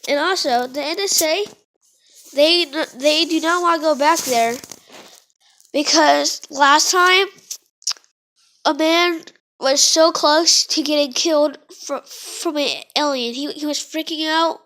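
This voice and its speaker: A young child vlogger who is sick, rambling through a casual monologue with lots of fillers and restarts. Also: female voice, high voice, a bit bright. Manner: halting, many fillers and restarts.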